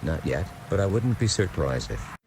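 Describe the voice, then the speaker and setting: A low-voiced male news correspondent, played by a man reports from the field. He is serious and measured. Very loud outdoor vehicle noise runs behind his voice. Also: very animated. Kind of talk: scripted live news report.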